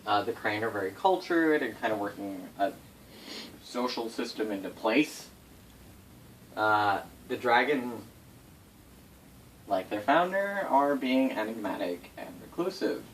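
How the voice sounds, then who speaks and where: A man's voice: lowish voice, lively intonation, measured pace, long pauses, fairly bright tone. A man informally telling tabletop game lore to players as game master, in a casual, rambling way with swearing and self-corrections. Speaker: game master recounting setting history to players. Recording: distant mic with faint background noise.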